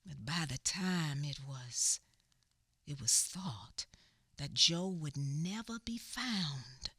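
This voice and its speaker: Grieving Southern female narrator, radio drama, extremely slow, halting, emotive, bright forceful tone, murmured asides. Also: low-pitched, animated, husky, full character voice. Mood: sorrowful, reflective. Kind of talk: dramatic radio theatre storytelling.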